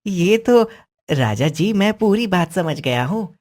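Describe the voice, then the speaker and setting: A woman plays a clever young boy from a moral-story cartoon. His voice is low, with lively swings of tone. He speaks very slowly and politely, sure of his persuasive wit. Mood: sly, persuasive. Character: clever, confident young boy advising a king.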